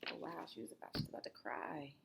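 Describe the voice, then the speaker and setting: A woman reading a children's story aloud as a teacher, expressively, pausing to explain it to young listeners. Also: whispered. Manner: animated, explanatory, guiding listeners through the story.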